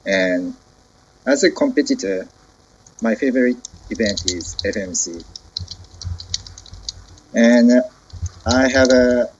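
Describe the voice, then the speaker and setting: A man in his twenties with a Japanese accent, introducing himself carefully and haltingly on a video call. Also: medium pitch, muffled recording, slight background noise. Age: 20s. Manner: careful, halting, searching for words. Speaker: committee member. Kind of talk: self-introduction in a meeting.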